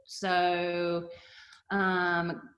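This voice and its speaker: A woman's voice: on the low side, monotone, extremely slow, a bit bright. A middle-aged woman pastor and TV host, chatting casually with an online audience and asking them to type answers. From Canada-born, raised in the US. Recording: roomy echo.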